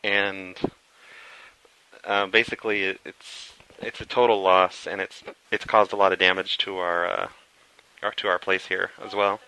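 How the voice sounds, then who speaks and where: A man on a phone call speaking as an employee, halting and hesitant. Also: sits low, flat delivery, pushed and bright, slight background noise. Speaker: prank caller posing as a dealership employee. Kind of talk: phone conversation, improvised prank.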